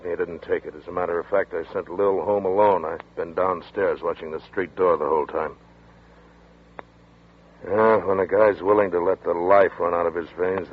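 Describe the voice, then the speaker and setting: An actor playing a gruff, probing detective who talks in a matter-of-fact way, in 1940s radio acting. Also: male voice, low-pitched. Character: skeptical, blunt detective watching over a man. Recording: tinny old radio broadcast.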